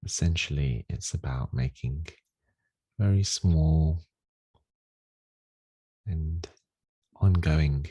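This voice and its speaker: A man leading a guided meditation in a gentle, unhurried, soothing manner with long pauses. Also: rumbling low, breathy edge, no echo. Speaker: meditation teacher.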